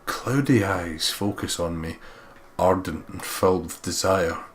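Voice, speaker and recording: A man's voice: low-pitched, unhurried. A man narrating a visual novel aloud as a let's player, reading expressively. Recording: faint background noise.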